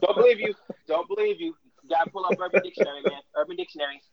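A man from Brooklyn co-hosting a podcast in casual chat, animated, exclaiming and laughing often. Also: high voice, rapid-fire. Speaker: podcast co-host. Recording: dull dry lo-fi sound.

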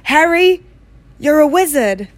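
A woman voicing a huge, gruff, fierce-tempered man with blunt rustic speech in a storybook reading. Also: high voice, expressive, slow pace, dry recording, pitched above the performer's own voice. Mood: hearty, proud.

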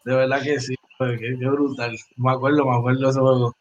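A man's voice: medium pitch, level tone, a little fast, highs cut off. A man in his forties, a sports podcast co-host, chatting animatedly and repeating phrases. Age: 40s. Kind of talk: casual sports chat.

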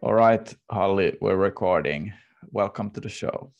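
Male podcast host, low-pitched with noticeable vocal fry, presenting to listeners. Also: unhurried, dull audio, faint music behind. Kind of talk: podcast intro, sponsor read and interview.

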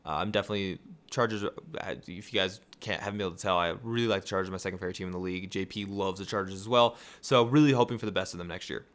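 A tired young man with a raspy voice, hosting a casual sports podcast and rambling through excited rhetorical questions. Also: deep voice, racing, few pauses. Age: young adult. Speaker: podcast host.

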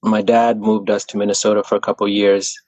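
A man's voice: lowish voice, level tone, fast, a little mellow, no room sound. Easygoing man from Kenya on a dry call. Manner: easygoing, rambling with restarts. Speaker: running coach. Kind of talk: casual video-call interview. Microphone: video call.

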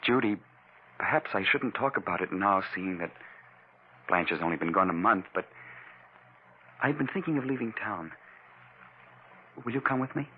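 An actor playing an unhinged, coldly polite murderous husband and obsessive suitor in an old-time radio thriller, halting and full of menace. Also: male voice, fairly low pitch, lively intonation, lightly acted. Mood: hesitant, brooding. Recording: tinny, noisy vintage radio recording.

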